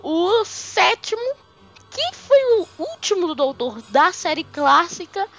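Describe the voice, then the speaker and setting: A chatty young woman with a Mineiro Brazilian accent rambles through fan talk, full of fillers and giggles. Her voice sits high. The audio is muffled and lo-fi, with faint music underneath. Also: very animated, slow, a bit bright. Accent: Mineiro Brazilian Portuguese. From Belo Horizonte, Brazil. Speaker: podcast co-host. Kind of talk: casual fan chat.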